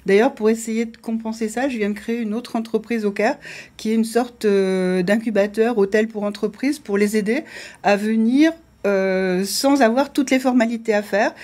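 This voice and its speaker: A woman's voice: on the high side, steady tone, moderate pace, a little mellow. A middle-aged woman from France, a business owner speaking as a studio panel guest and telling her experiences frankly and with confidence. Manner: frank, anecdotal, self-assured.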